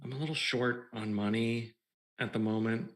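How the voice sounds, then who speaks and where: A man's voice: medium pitch, steady tone, moderate pace, close to an everyday voice. A man playing a hesitant, short-of-money customer who questions things in a wry way. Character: hesitant broke male customer. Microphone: video call.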